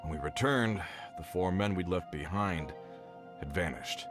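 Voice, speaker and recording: An actor playing an earnest, worried mine foreman in a video game who speaks gravely. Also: low voice, a little slow. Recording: game audio with background music. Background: game music.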